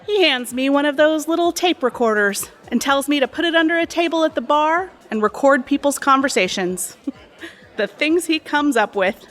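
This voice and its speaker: A pushy cocktail waitress in her thirties with a high, very lively voice. She chats breezily and gets too familiar, with a sly edge, in an audio play with music behind her. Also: moderate pace. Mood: chatty, griping. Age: 30s. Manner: breezy, familiar, insinuating, talkative. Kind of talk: audio drama acting.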